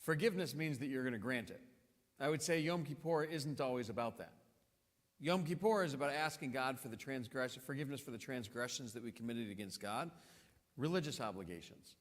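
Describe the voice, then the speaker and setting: A man, a rabbi, explaining things to the congregation in a relaxed conversational way, with fillers and restarts. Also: mid-range voice, brisk. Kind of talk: casual panel conversation. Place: large synagogue hall. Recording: long hall reverb.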